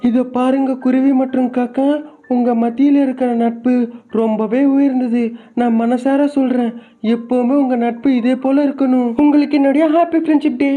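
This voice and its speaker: A sincere, friendly cartoon pigeon, played by a man in a high, soft-toned voice. It speaks earnestly, as if offering good wishes, over music. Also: fairly even intonation, normal speed. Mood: warm, friendly. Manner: earnest, well-wishing.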